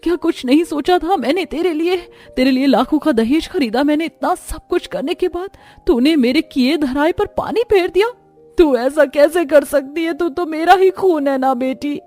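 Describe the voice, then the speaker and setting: A woman's voice: high voice, normal speed, darkish tone, faint music behind, voice pushed up, close to an everyday voice. A woman voicing a melodramatic middle-aged stepmother in a cartoon, scheming and doting, defensive and pleading. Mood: distraught pleading.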